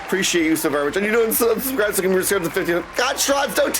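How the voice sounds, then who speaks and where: A man's voice: sits high, big pitch swings, fast, a loud crowd, heavy background noise. A man hosting a casual livestream, chatting effusively with viewers, often exclaiming and calling them dudes. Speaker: live streamer and host.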